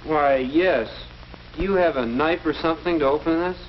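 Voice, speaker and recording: Young man as polite teenage schoolboy, higher male voice, earnest, deferential, muffled 1940s film sound. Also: normal speed, slight background noise, natural voice. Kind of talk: 1940s educational film acting.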